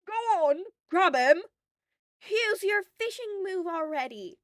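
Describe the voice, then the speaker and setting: A rowdy fight fan from a story, performed by a woman reader. The cheering is loud and aggressive, pushed well up in pitch. The sound is close and dry. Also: lively intonation, slow, no echo, full character voice. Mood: fired up. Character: rowdy, foul-mouthed fight fans yelling from the crowd.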